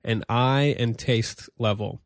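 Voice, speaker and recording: A man with a nasal voice co-hosting a casual comedy podcast in an apartment, making deadpan, ironic jokes. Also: mid-range voice, slow, creaky, no room sound, studio quality. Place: apartment room.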